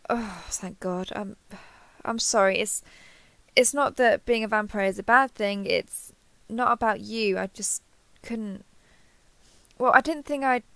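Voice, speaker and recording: A woman's voice: a little high, measured pace, gravelly, sharp tone, no room sound, full character voice. A woman playing a kindhearted, awkward, easily flustered human in an intimate roleplay audio, hesitant and stammering as she asks curious questions. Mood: anxious, apologetic. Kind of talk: intimate roleplay audio acting, one side of a conversation.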